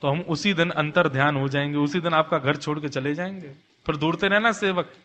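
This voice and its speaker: Man playing insistent divine servant boy, high and ringing. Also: pushed and bright, a little hiss or hum. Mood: warning. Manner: brisk, insistent, colloquial. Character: God disguised as small, eager, hungry servant boy. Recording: long hall reverb.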